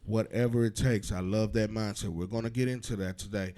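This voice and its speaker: A man's voice: lowish voice, fairly even intonation, brisk, dark tone. A man hosting a sports interview show, upbeat and hyping his guests. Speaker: show host.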